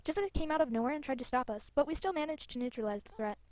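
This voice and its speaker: A woman's voice: sits high, lively intonation, racing, a little mellow, dry recording. A woman playing an earnest, eager young female rider hero from a fantasy game. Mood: earnest, urgent.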